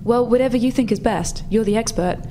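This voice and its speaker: A woman playing a brisk, practical rebel contact in a tabletop roleplay, explaining things matter-of-factly. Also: a little high, background noise, lightly acted. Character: female rebel contact, brisk, practical, slightly flustered insider technician.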